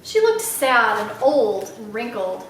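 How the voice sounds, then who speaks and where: A woman reading a children's novel aloud as an expressive storyteller. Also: fairly high pitch, slow, a little hiss or hum. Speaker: library read-aloud storyteller. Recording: very echoey room, slightly distant mic.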